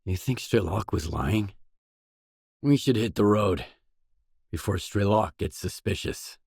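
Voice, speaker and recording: An actor playing a determined, brooding stalker in a grim video game, with a measured, serious, understated delivery. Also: male voice, expressive, a little mellow, no echo, studio quality, heavily acted. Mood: calm, serious. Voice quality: low, husky. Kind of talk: game voice acting, isolated dialogue lines.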